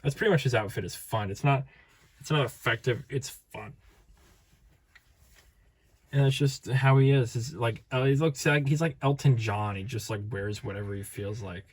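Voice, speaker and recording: A man's voice: medium pitch, normal speed, breathy edge. A man casually reviewing collectible figures, rambling and playful, tired with his voice starting to give out. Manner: rambling, playful, self-interrupting. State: tired, voice fading.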